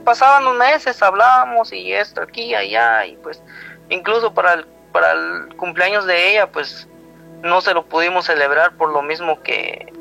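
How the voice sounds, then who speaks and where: High-voiced Guatemalan man recounting personal memories. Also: creaky, pushed and bright, low-quality recording. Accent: Guatemalan Spanish. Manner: rambling, retells dialogue with frequent "he told me" tags. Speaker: guest telling a personal story. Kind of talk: personal storytelling in conversation. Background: music.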